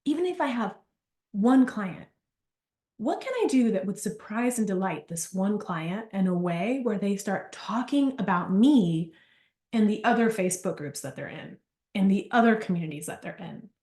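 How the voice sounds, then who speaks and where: A woman's voice: medium pitch, normal speed, roomy sound. A woman giving a live business workshop, telling chatty stories with lots of asides. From Argentina. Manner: animated, chatty, storytelling with asides. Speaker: business coach.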